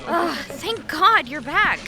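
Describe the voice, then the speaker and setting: A woman's voice: high-pitched, animated, slow, a crowd in the background. An actress playing an exasperated, skeptical woman office colleague in an audio drama.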